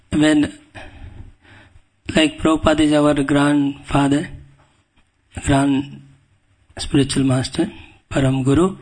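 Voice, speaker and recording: A man with an Indian accent giving a devotional scripture lecture as a guest preacher, speaking humbly and haltingly and often clearing his throat. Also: on the high side, little pitch movement, darkish tone, rough recording. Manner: humble, deferential. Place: speaking at a temple.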